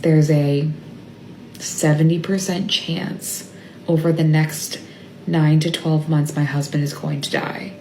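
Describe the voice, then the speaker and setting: A mother speaking in a halting, tearful confessional, often trailing off and dropping to a whisper. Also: deep voice, mellow. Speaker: mother sharing a personal update. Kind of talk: emotional confessional monologue. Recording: echoey room with faint ambient noise.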